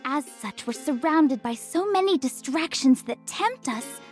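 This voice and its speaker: An eager, devout young retreatant in a visual novel. Her voice is high, polite and earnest, keen to please, with faint music behind it. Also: expressive, a little slow. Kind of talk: scripted visual novel voice acting.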